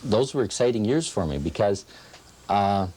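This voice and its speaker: A man's voice: fairly low pitch, lively intonation, moderate pace, dark tone, husky. A middle-aged man talking informally as an interviewed club member, in a reminiscing style. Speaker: old-time radio club member interviewed. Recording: faint background noise.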